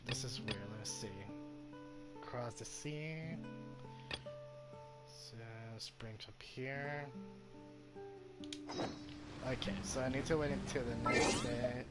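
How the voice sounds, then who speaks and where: A man's voice: mid-range voice, steady tone, takes it slowly, long pauses. A man streaming a game, chatting casually in a rambling, hesitant way. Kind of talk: casual livestream chat over gameplay. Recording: game music under the voice. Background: video game music.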